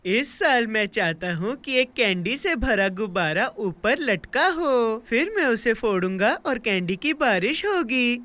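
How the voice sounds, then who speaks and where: A woman voicing a playful, impulsive little boy creature in a children's cartoon, with exclamatory, drawn-out delivery. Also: a little high, lively intonation, fairly bright tone. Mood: cheerful, eager.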